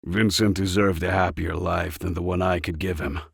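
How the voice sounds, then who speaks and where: A man voicing a gruff, dutiful older male soldier with an understated, regretful manner in a story reading. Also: low voice, fairly even intonation, moderate pace, no room sound, studio quality, full character voice.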